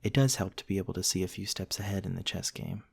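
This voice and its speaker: A man playing a gentle, courtly, centuries-old vampire prince in a soft, intimate ASMR roleplay, tender and hesitant with old-fashioned politeness. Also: low-pitched, fast, creaky, husky, heavily acted. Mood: modest, lightly amused.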